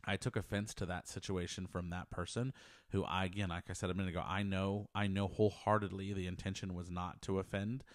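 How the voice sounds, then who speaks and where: A man's voice: sits low, little pitch movement, brisk, no room sound. A man in his thirties hosting a podcast from a small home office, talking earnestly into a close handheld mic, rambling and restarting his sentences. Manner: earnest, rambling, repeats words and restarts often. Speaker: podcast host. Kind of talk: personal faith podcast monologue. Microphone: handheld dynamic mic close to mouth.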